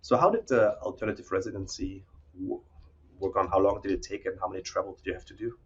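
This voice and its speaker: Male academic podcast host, fairly low and a little rough-edged in tone. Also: steady tone, quick pace, muffled recording. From Austria. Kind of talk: podcast hosting.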